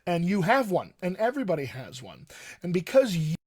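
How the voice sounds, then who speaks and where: A man's voice: high voice, huge pitch swings, brisk, slightly rough. A man in his thirties with a mostly General American accent, raised in Colorado, giving an enthusiastic live-streamed coaching talk from a home study and repeating his key points. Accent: General American with light West Texas and upstate New York features. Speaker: dialect coach. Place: home study with bookshelves, indoors.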